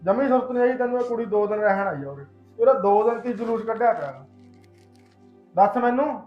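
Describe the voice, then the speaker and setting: A man's voice: high-pitched, animated, fairly quick, echoey room, dull audio, soft background music. A man playing a stern, protective elder brother and head of household in a family drama, insistent in manner. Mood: angry, reproachful. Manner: insistent, reproachful. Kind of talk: Punjabi domestic drama dialogue.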